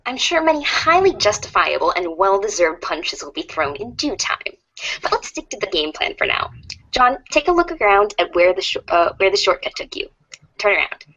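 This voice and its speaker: Casting: woman for a mischievous, wordy troll girl in amateur theater; lively swooping pitch with a raspy edge. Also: moderate pace, highs cut off. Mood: playful. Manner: teasing, wordy, confident. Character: playful, teasing troll girl, sly and giggly.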